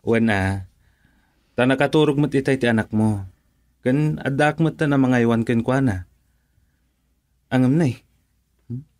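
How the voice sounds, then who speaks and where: A man acting as a patient young husband and father in a radio drama. He speaks in an Ilocano accent at a middling pitch, with an easygoing manner. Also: a little slow, pauses often, darkish tone, lightly acted. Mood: calm, reassuring.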